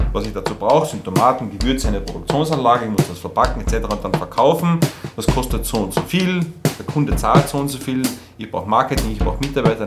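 A man explaining plainly as a business expert in an interview, listing his points. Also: mid-range voice. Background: loud drum music.

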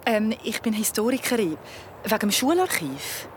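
A woman's voice: mid-range voice, very animated, normal speed, noisy background, natural voice. A woman with a Swiss German accent playing an anxious, driven young mother in a naturalistic audio drama. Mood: hesitant, polite.

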